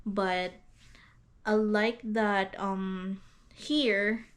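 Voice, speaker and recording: A woman from the Philippines with a Filipino accent, chatting casually on a couple's vlog, halting with fillers and restarts and laughing often. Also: mid-range voice, steady tone. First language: Hiligaynon. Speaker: vlog co-host.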